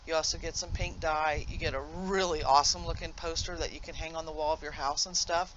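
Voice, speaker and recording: A man's voice: a little high, steady tone, quick pace, fairly bright tone, dull audio, slight background noise. A man giving a casual, unscripted game tutorial in an informal, explanatory way, correcting himself as he goes. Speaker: gamer presenting a demonstration. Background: video game music.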